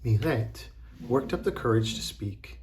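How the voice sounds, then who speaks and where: An encouraging, expressive man teaching a children's drama lesson and narrating a storybook. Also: medium pitch, a little slow, a little hiss or hum.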